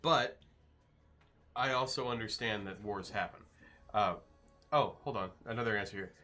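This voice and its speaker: A man's voice: lowish voice, normal speed, sharp tone. A man streaming live, casually reading and answering his chat with loose, joking replies.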